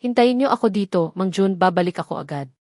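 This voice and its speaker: A woman's voice: mid-range voice, animated, fast talker, a little mellow, no echo, clean studio sound, lightly acted. A Filipino-accented woman voicing a desperate, defiant young male heir in a narrated story, intense and urgent.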